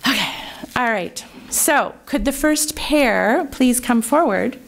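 A woman's voice: a little high, lively intonation, slow, vocal fry. A woman in her sixties hosting a library poetry event, introducing guests in a friendly, informal way at a lectern. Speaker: event host. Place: library meeting room. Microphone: lectern microphone.

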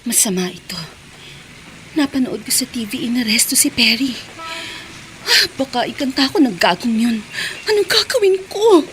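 A woman's voice: on the high side, slight background noise, close to an everyday voice, low-quality recording. A woman playing a panicky, guilty fugitive with a Filipino accent, agitated and melodramatic in a radio drama.

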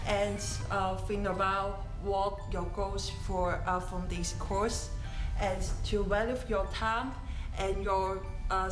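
A woman student giving a halting promotional talk. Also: medium pitch. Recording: echoey, distant mic, music behind.

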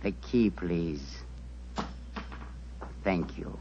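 A cold, polite villain. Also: male voice, sits low, creaky, slightly rough, heavily acted. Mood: menacing. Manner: calm menace, slow polite threats. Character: cold scheming male villain, foreign servant. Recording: dull old radio broadcast.

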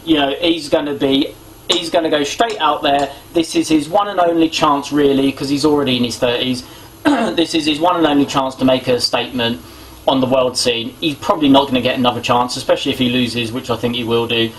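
A young British man giving casual sports opinions as a vlogger, haltingly thinking aloud, recorded in a home kitchen on a camera mic. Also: medium pitch, a bit bright, rough recording. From Britain. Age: young adult. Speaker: boxing vlogger. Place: small domestic kitchen indoors. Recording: clear background noise, homemade camera audio.

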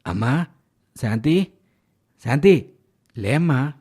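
Casting: man as an affectionate village dad for a cartoon moral tale, mid-pitched, lively intonation. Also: slow, breathy edge. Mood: alarmed. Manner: affectionate, addressing his child tenderly. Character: gentle, caring village father, middle-aged labourer. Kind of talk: animated moral story dialogue.

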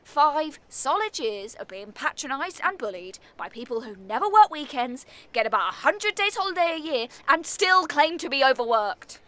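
A woman's voice: high voice, sing-song, moderate pace, few pauses, fairly bright tone. A woman with a British accent playing a sardonic, defiant neighbour in an audio comedy play, brusque and sarcastic.

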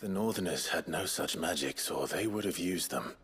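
An actor playing a brooding, guarded warrior in a video game, speaking in a terse, hushed way. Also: male voice, low voice, animated, moderate pace, slightly rough, heavily acted. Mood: skeptical. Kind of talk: understated video game drama acting.

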